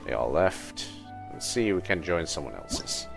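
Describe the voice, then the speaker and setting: Very deep, creaky-voiced male game streamer. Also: big pitch swings, measured pace. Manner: muttering to himself, thinking aloud. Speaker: livestreamer, gamer. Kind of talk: casual gameplay commentary. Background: game music.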